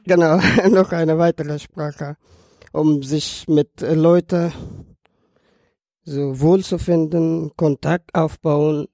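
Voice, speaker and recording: A man's voice: a little high, fairly even intonation, slow pace, a little mellow, no echo, clean studio sound. A man in his early twenties from Afghanistan, a native Dari speaker with an Afghan accent, telling his own story in a podcast conversation with non-native phrasing. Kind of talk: personal account in a podcast conversation.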